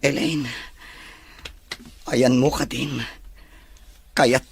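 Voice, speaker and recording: A man playing a grown son in an Ilocano radio drama, exclamatory and easily astonished, with drawn-out delivery. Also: medium pitch, flat delivery, vocal fry, breathy edge, dry recording, full character voice. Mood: searching, musing.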